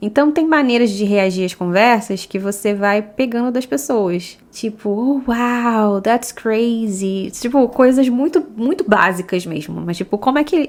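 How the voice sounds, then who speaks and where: A Brazilian woman with a Brazilian Portuguese accent hosting a podcast, chatting casually and often laughing between points. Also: medium pitch. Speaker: podcast host.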